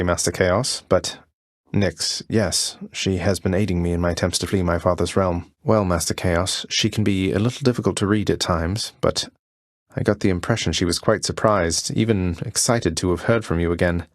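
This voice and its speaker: A young underworld prince from a video game, acted by a man, speaks in a low, close, dry-recorded voice. He is cocky yet polite, with an understated, wry delivery. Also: steady tone, moderate pace, no echo, close to an everyday voice. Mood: respectful, earnest. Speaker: voice actor playing a video game hero. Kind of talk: video game voice lines, short combat barks and dialogue.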